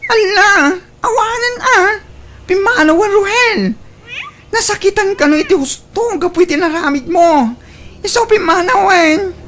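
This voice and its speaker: An upset, scolding mother in an Ilocano radio drama. The voice is extremely high and shrill, with an Ilocano Filipino accent. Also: unhurried, fairly bright tone, slight background noise.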